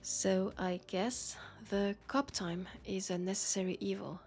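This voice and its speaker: A woman's voice: medium pitch, steady tone, measured pace, a little mellow, lightly acted. A woman with a French accent playing a worried, disillusioned policewoman in an intimate sci-fi roleplay, confiding in a hesitant way. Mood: resigned. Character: worried, disillusioned young policewoman in a dystopian city. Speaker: roleplay audio performer.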